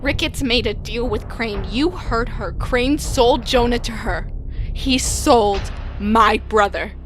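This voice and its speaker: An actress playing a desperate, injured young woman searching for her brother in an audio drama, strained and halting. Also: on the high side, fairly bright tone, faint music behind.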